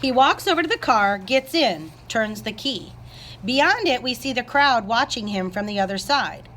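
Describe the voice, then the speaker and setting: A woman with a fairly high voice narrates a script. She reads the stage directions aloud as the narrator. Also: animated, normal speed, a bit bright, slight background noise.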